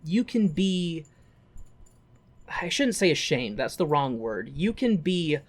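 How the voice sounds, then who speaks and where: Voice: mid-range voice, sing-song, slow pace. A podcast co-host in casual film chat, talking informally with folksy turns of phrase and loose swearing.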